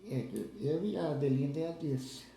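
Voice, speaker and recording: A man's voice: on the high side, creaky, warm tone, a little hiss or hum. Older guy in his 70s, stops and restarts a lot, roomy echo. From southern Brazil. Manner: halting, restarts with repeated words. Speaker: interviewee, lay church leader. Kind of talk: casual spoken recollection.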